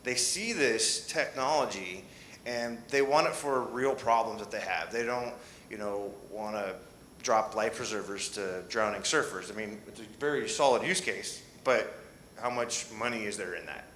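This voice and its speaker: Bright-voiced man on an echoey panel. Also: fairly low pitch, moderate pace, slight background noise. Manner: hesitant, many fillers and restarts. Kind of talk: panel discussion answer.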